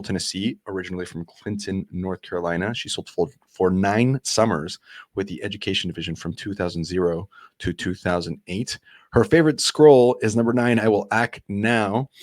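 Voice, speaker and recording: A man's voice: on the low side, no echo. A man hosting a casual podcast interview, eager and chatty, often restarting his sentences. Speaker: podcast host.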